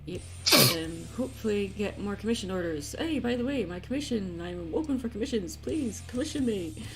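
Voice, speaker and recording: A woman's voice: mid-range voice, animated, fairly quick, a little mellow, rough recording. A woman hosting an art livestream in casual, jokey, informal chat. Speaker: livestream host and artist taking commissions. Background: guitar music playlist.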